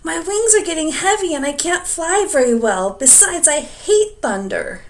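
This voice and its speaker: A woman voicing a weary, gentle young male dragon, talking high and strained. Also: expressive, normal speed, slight background noise. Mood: pained.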